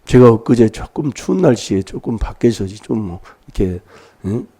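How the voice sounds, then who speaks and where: A man's voice: on the low side, expressive, moderate pace, dark tone, breathy edge. A man preaching an emphatic sermon in a church, prodding his listeners with rhetorical questions while he has a cold. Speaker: pastor.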